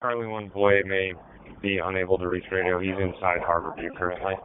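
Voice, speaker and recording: A male police officer with a deep voice answers over the radio. His phrases are short and procedural, carried on a narrowband, tinny channel. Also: level tone, a little fast. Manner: terse, procedural. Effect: police radio transmission.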